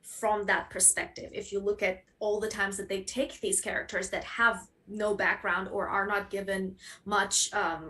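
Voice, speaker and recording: A woman speaks as a guest on an academic panel. Her pitch sits in the middle of the female range, and she picks her words hesitantly as she builds her points. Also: a bit bright.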